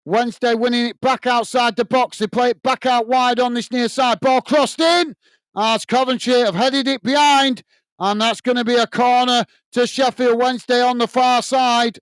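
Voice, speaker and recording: A British man with a tiring, slightly hoarse voice giving live play-by-play commentary on a football match for a fan watch-along channel, chatting to viewers as he goes. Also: extremely high pitch, moderate pace, no room sound. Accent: British English. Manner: steady running commentary, talks to viewers as guys. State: voice tired and going hoarse.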